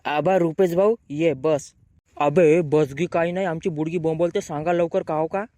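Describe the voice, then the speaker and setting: A man's voice: a little high, steady tone, moderate pace, warm tone, no echo, natural voice. A man voicing a brash, grumbling young village man with a rural Marathi accent, bickering in slang in a comic cartoon. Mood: grumbling banter.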